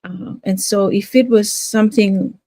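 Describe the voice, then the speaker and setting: Older Fiji-raised woman, casual promoter, halting delivery, warm and dry. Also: medium pitch, steady tone, no room sound. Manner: hesitant, many fillers and restarts. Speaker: home business promoter. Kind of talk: casual talk to camera.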